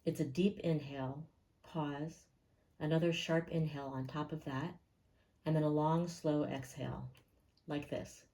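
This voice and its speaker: Low, mellow woman guiding a meditation. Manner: calm, gentle, unhurried, with pauses. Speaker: meditation guide. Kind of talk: guided meditation narration.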